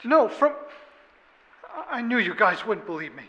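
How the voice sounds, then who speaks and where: An actor playing a defensive, agitated murder suspect in an audio drama, halting and stammering as he is questioned. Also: very high-pitched, sing-song, sharp tone, long reverb tail, lo-fi sound, heavily acted. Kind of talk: audio drama interrogation acting.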